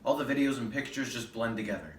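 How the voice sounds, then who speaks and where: A man's voice: mid-range voice, quick pace, natural voice. A man playing a guilt-ridden young man with a secret addiction, in a rehearsed stage monologue. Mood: numb, fixated. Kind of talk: rehearsed readers theater monologue. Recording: roomy distant mic with background noise.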